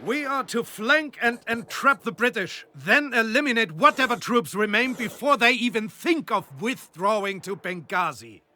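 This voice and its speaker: An actor playing a confident, calculating general, giving formal orders and briefings in a war game. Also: male voice, extremely high pitch, expressive, moderate pace, hardly any pauses, sharp tone, exaggerated voice. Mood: calm, calculating. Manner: formal, authoritative, clipped commands. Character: commanding German general, confident, calculating desert war strategist. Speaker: commanding officer giving mission briefings. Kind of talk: scripted video game cutscene and briefing acting.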